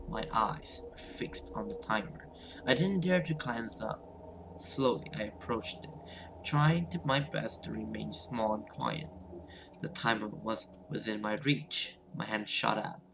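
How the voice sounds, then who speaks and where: A man's voice: mid-range voice, background noise. A man doing let's-play commentary and reading game text aloud in an informal, hesitant way. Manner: informal, hesitant, alternates reading narration with reactions. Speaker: gaming video host.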